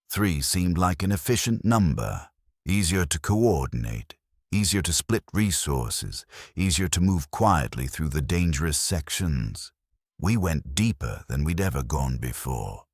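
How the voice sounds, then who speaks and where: A man narrating a scripted first-person science fiction horror story in a measured, grave manner. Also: extremely low pitch, no room sound, clean studio sound.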